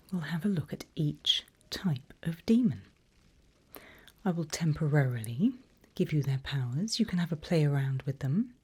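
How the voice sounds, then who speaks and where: Female ASMR demon guide: mellow, breathy whisper, unhurried, teasing and quietly menacing. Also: low voice. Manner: slow, deliberate, playful menace. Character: seasoned female demon mentor, sly and teasing. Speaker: ASMR roleplay performer. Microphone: close ASMR microphone.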